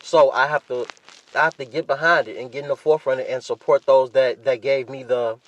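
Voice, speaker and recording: A man's voice: medium pitch, fairly even intonation, fairly quick, highs cut off. An American man, youngish, chatting casually on a solo podcast in a laid-back, rambling way with plenty of swearing. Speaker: podcast host.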